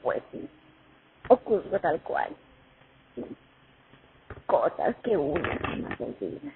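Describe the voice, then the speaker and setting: A woman playing a war veteran who recalls her years at the front in an expressive radio play monologue. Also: low-pitched, slow pace, vocal fry, slight background noise, heavily acted. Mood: reminiscing. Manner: reminiscing, expressive, dramatic. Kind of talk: radio play monologue, first-person testimony. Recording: tinny phone-like sound over music. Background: music.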